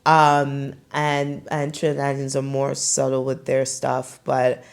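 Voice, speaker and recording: A young woman from Brooklyn hosting a podcast, telling stories in a loose, animated way with lots of fillers. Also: extremely low pitch, slow, creaky, clear, resonant tone. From Brooklyn, New York. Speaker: podcast host. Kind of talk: casual podcast chat.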